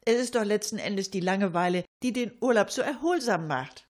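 A woman's voice: mid-range voice, lively intonation, fairly quick, close to an everyday voice. A woman playing a chatty older lady in a comic monologue, rambling colloquially and addressing her listeners with mock indignation. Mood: chatty, wry.

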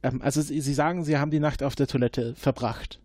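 A man's voice: medium pitch, fairly even intonation, fast talker, a little mellow, no room sound, clean studio sound. A man playing an investigator in a tabletop game, hesitant with frequent fillers. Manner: hesitant, many fillers, interrogating. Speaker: player.

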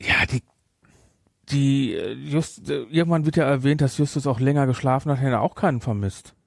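A man co-hosting a podcast in casual chat, retelling and reviewing in a halting way with repeated words. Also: medium pitch, gravelly, no room sound, studio quality. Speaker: podcast host.

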